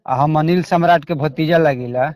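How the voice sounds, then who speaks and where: A man's voice: on the high side, moderate pace, darkish tone, no echo. A man with a Bhojpuri accent speaking as a caller in a confrontational phone call, in an aggrieved, repetitive, coarse way.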